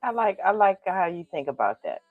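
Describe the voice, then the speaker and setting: A woman's voice: lowish voice, big pitch swings, a little fast, no room sound, narrow, tinny audio. A woman hosting a radio interview, asking questions with emphatic enthusiasm. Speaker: radio show host.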